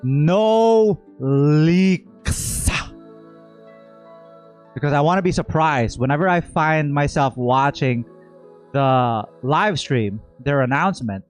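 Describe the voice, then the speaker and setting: High-pitched expressive man streaming games. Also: slow, soft background music. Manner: expressive, repeats words for emphasis. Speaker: gaming streamer. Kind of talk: casual livestream game reaction.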